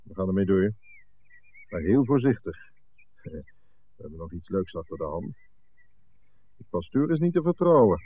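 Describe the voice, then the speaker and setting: Wary menacing crook in an old dutch radio play, blunt talk, tinny phone-like sound. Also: male voice, sits low, sing-song, normal speed, pauses often. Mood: wary, brooding. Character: suspicious, threatening male crook, leader of his mates. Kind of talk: Dutch radio drama acting. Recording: narrow-band old 1960s radio recording.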